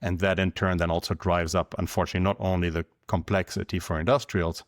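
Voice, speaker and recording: A man from Germany with a German accent, explaining things in a measured way as a podcast guest. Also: deep voice, level tone, dry recording, clean studio sound. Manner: measured, explanatory, numbers-driven. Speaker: guest, company founder. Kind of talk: podcast interview.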